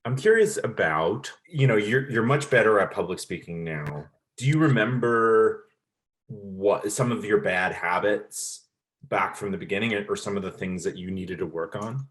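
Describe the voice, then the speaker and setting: Loud, encouraging male podcast host. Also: lowish voice, animated, moderate pace, low-quality recording. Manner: loud, self-described big voice; encouraging, casual questions. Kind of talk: casual interview chat.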